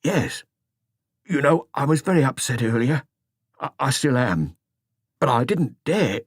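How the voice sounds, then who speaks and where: A man's voice: mid-range voice, big pitch swings, unhurried, husky, no echo, clean studio sound, pitched above the performer's own voice. A man voicing an upset, dutiful policeman in an audiobook, speaking hesitantly and deferentially to his superior. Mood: uneasy. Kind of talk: audiobook character dialogue.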